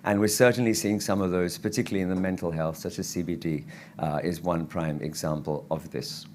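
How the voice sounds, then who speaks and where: A man speaking as a physician at a conference lecture, his voice croaky from travel, earnest and given to restarts and fillers. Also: low-pitched, fairly even intonation, fairly quick, a little mellow, a little hiss or hum. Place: conference hall.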